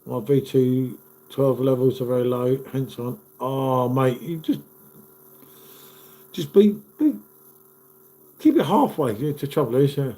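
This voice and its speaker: A man's voice: mid-range voice, expressive, measured pace, long pauses, dark tone. A man in his fifties, hosting a casual live stream and chatting matily with viewers while stuffed up from a cold. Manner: chatty, matey, calls viewers "mate".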